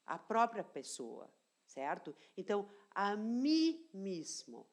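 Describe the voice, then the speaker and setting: A woman teacher explaining grammar in an online lesson, deliberate and repeating key phrases, often checking in with her students. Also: medium pitch, wildly swooping pitch. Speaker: language teacher.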